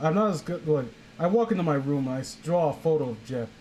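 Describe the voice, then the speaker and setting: Higher-pitched young man, sarcastic and casual. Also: animated, fast talker, darkish tone, a little hiss or hum, rough recording. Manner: sarcastic, sweary, exasperated asides. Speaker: host reading a story aloud. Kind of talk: casual comic commentary while reading fan fiction.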